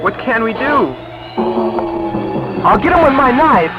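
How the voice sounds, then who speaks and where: Casting: jumpy young male adventurer acted by a narrator, high, swooping melodrama, horror tale, muffled lo-fi recording. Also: sing-song, measured pace, full character voice. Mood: alarmed. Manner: exclamatory, melodramatic. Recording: dull lo-fi recording under loud music.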